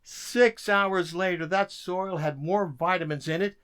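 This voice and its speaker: An actor playing a weary, dry-witted government codebreaker in an emphatic radio drama style. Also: male voice, high-pitched, measured pace, fairly bright tone, smooth. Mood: wry, reflective.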